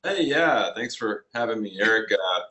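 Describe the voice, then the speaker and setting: A man with a slightly gravelly, allergy-affected voice, speaking conversationally as a company president pitching on a webinar. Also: animated, normal speed, bright, forceful tone, low-quality recording. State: congested from allergies.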